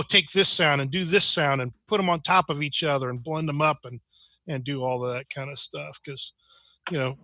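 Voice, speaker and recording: Bright, forceful man in casual chat. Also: on the high side, no room sound. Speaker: club member. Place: online video meeting.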